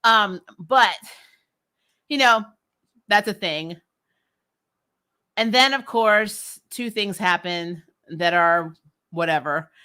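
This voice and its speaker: A woman's voice: medium pitch, takes it slowly, long pauses, bright, forceful tone, clear, resonant tone. A woman giving casual, opinionated commentary as a recap vlogger, sarcastic and exasperated, with slangy talk and some swearing. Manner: acts out characters' lines mockingly.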